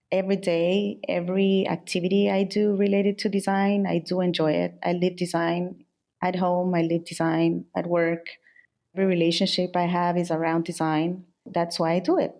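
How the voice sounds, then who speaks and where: A middle-aged woman from El Salvador, a designer, answering interview questions in a candid, unhurried way. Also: lowish voice, level tone, darkish tone. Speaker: guest, furniture and interior designer.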